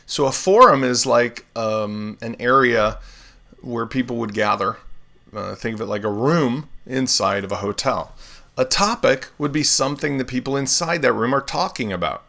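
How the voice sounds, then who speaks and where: A man hosting a live software tutorial, explaining steps in a relaxed way with everyday comparisons while still getting over laryngitis. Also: medium pitch, normal speed, gravelly. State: recovering from laryngitis, swallowing often. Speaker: web developer tutorial host.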